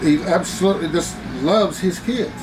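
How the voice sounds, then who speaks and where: A man in his sixties, a retired father, answering his daughter's questions in a slow, folksy, hesitant way. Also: high voice. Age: 60s. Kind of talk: casual chat. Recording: distant voice with background noise.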